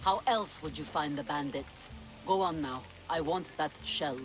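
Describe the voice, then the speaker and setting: A woman's voice: low-pitched, a little slow, soft background music. An actress playing a sly, imperious, treasure-loving pirate queen of mature years, with a languid, theatrical delivery in a video game cutscene. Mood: teasing, commanding. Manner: languid, commanding, teasing, theatrical.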